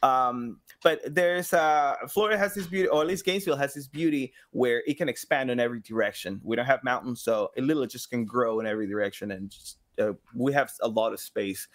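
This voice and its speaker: A man in his thirties talking casually as a podcast guest, halting as he searches for words. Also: mid-range voice. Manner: halting, searching for words, animated quoted asides. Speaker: guest, sound designer and community engagement director at a game studio. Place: small home studio room, indoors. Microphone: microphone on boom arm.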